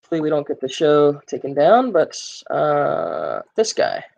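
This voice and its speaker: A man's voice: fairly high pitch, wildly swooping pitch, moderate pace, creaky, a little mellow, dull audio. A man hosting a casual gaming live stream, rambling with plenty of fillers and joking with his viewers. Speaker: gaming livestream host.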